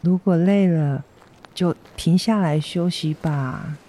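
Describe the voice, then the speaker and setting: A woman with a Taiwanese accent playing a gentle, apologetic mother recovering from surgery, speaking tenderly in an educational radio drama. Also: fairly low pitch, slow. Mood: concerned. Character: gentle, apologetic convalescing mother of a teenage boy. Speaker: amateur voice actor, schoolteacher.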